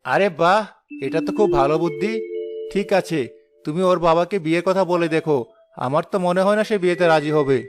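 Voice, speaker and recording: High-voiced, brash young son, acted by a man. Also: brisk, natural voice. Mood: pleased, curious. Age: young adult. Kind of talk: cartoon voice acting. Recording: loud background music.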